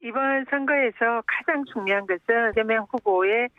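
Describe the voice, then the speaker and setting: A man's voice: very high-pitched, moderate pace, no room sound, narrow, tinny audio. A man making interview remarks as a party politician in a radio clip.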